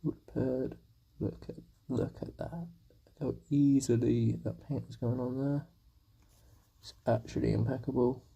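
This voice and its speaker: Husky young man with a sore throat. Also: mid-range voice, fairly even intonation, a little slow, mellow, highs cut off. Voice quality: gravelly, husky. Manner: rambling, self-deprecating, talking to viewers. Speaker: streamer. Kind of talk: casual live-stream chat while painting.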